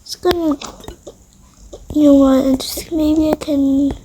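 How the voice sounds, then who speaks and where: A woman's voice: sits high, fairly even intonation, takes it slowly, background noise. A female voice improvising a roleplay with toy game characters, slangy and drawling, with sighs. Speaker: roleplay video creator voicing toy characters.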